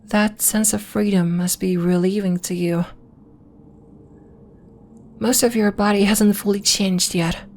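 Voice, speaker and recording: A woman playing a serene, solemn alien moon healer in an ASMR roleplay, speaking soothingly in formal, deliberate phrases. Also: medium pitch, darkish tone, lightly acted. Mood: serene, congratulatory. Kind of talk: ASMR roleplay, soft scripted monologue addressed to listener.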